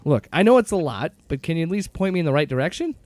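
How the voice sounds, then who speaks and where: An actor playing a gruff, determined agency director in an audio drama, talking bluntly and casually with some hesitant word-searching. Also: male voice, a little high, big pitch swings, fast, darkish tone, dry recording. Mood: earnest.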